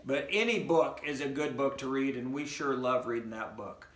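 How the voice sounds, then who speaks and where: A man's voice: medium pitch, moderate pace, a bit bright, slight background noise. A middle-aged man, an educator, reading a picture book aloud expressively and speaking directly to children. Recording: roomy, echoing home recording.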